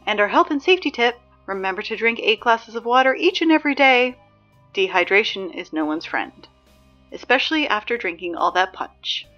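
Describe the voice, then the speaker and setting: Woman acting as sarcastic school announcer, dry, exasperated, emphatic, medium pitch, dull lo-fi sound, music underneath, fiction podcast. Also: animated, normal speed, bright, forceful tone. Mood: dry, composed.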